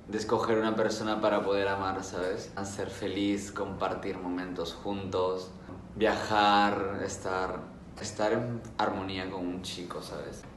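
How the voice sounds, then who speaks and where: A man's voice: on the low side, reverberant, distant mic, a little hiss or hum. Shy young man. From Peru. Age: 20s. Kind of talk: sit-down interview answers. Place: indoors in a room.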